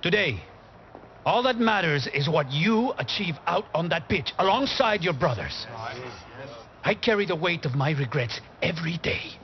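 An intense, regret-worn football coach in his middle years, from a video game cutscene. His dramatic delivery moves widely up and down, with weighty pauses. The sound is slightly dull, with clear noise behind him. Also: on the high side, animated. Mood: grave, urging. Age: middle-aged. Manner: dramatic, measured build-up with emphatic pauses. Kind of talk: cinematic game acting, motivational speech.

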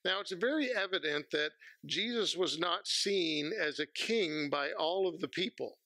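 A man, preaching a measured, earnest sermon from a church pulpit on a headset mic. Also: on the high side, sharp tone, dry recording. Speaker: pastor.

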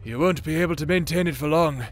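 A man's voice: fairly high pitch, quick pace, a little hiss or hum, close to an everyday voice. A man playing a probing, taunting figment of someone's thoughts in an audio drama, speaking formally with archaic phrasing. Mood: measured, cautioning. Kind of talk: audio roleplay drama acting.

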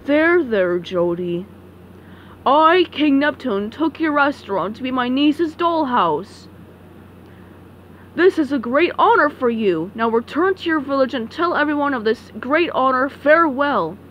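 A woman's voice: high voice, lively intonation, a little slow, a bit bright, dull audio, slight background noise, voice pushed down. Woman doing a pompous cartoon sea king. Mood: proclaiming. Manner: pompous, proclaiming. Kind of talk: amateur comic dub, cartoon voice acting.

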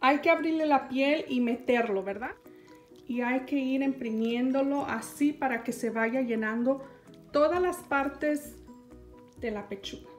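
A high-pitched woman talks viewers through a recipe in a calm, step-by-step way. Clear background music plays under her voice, with dishes and pans clinking in the kitchen. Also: unhurried. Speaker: cooking show host. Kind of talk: home cooking demonstration.

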